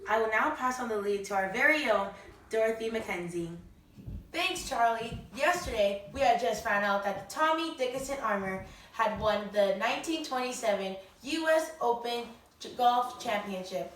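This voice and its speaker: Young woman doing a perky 1920s radio host, bright voice, roomy and a bit far from the mic. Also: fairly high pitch, expressive, normal speed. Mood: upbeat, presenting. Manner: perky, theatrical, old-time slang. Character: chirpy 1920s radio show hosts, excitable and folksy. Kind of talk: amateur 1920s radio show acting. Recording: roomy, distant mic, faint noise.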